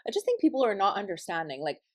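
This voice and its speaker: A woman's voice: mid-range voice, wildly swooping pitch, fast. A woman hosting a casual podcast chat, ranting in a heated, profane and emphatic way.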